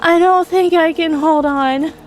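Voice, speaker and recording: A woman's voice: extremely high pitch, steady tone, measured pace, voice pushed up. A woman voicing a whiny, exhausted, prickly young female student in an audio drama, with music behind. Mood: gasping, desperate. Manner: whining, shaky, breathy gasps.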